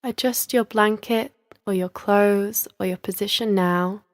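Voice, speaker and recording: A woman leading a guided yoga nidra relaxation as a teacher, speaking in a soothing, measured, instructional manner with gentle pauses. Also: medium pitch, fairly even intonation, no room sound, studio quality.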